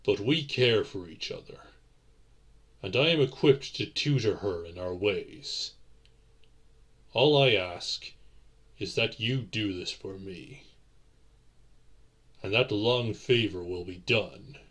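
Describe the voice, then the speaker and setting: Male vampire lover played by a man, low-voiced and very unhurried, courteous and soothing, formal old-world phrasing, for romantic audio drama. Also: fairly bright tone. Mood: solemn, courteous. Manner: formal old-fashioned phrasing, measured delivery. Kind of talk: romantic audio roleplay acting.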